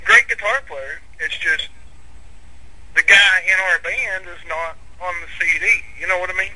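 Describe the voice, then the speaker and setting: A man's voice: high-pitched, normal speed, noisy background. A man with a Southern US accent, a musician guest in a casual interview chat, talking in a plainspoken, laid-back way.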